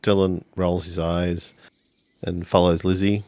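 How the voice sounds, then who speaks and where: A man's voice: low-pitched, little pitch movement, unhurried, darkish tone, no room sound. A man casually narrating his character's actions as a player in a tabletop role-playing game.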